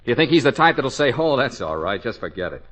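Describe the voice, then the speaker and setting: An actor playing a wisecracking, anxious private investigator in old radio comedy-drama style, wry, nervous and hesitant. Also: male voice, medium pitch, big pitch swings, quick pace, fairly bright tone. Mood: worried, wry. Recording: dull, band-limited old radio recording.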